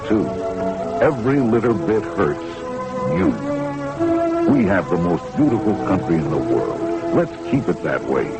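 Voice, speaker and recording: A man's voice: fairly low pitch, rough recording. An American man reading a scripted 1960s radio public service spot in an earnest, exhorting, rhetorical way. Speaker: public service announcement speaker. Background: music.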